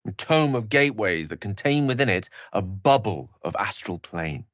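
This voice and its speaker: A man's voice: lowish voice, lively intonation, moderate pace, a bit bright, no room sound, clean studio sound. An actor voicing a learned, witty, self-assured male wizard in a video game, with a wry, theatrical and bookish way of speaking. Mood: musing, scholarly. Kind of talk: video game character voice acting.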